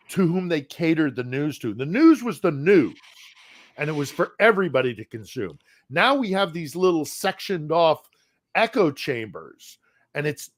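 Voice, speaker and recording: A man's voice: fairly high pitch, no echo, studio quality. A man speaking as a guest correspondent from Ukraine in a casual live-stream conversation, earnest and often repeating words while he searches for them.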